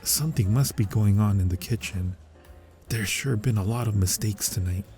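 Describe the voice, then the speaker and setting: A man's voice: fairly low pitch, normal speed, warm tone, lightly acted. A man playing a fawning, suspicious restaurant manager in an ASMR roleplay, formal and unctuously polite. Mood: smooth, probing. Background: music.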